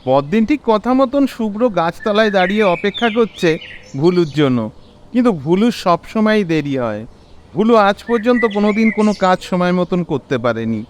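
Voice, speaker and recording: High-pitched Bengali man narrating animatedly. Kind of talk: dramatic story narration for a Bengali horror cartoon. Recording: clear background noise.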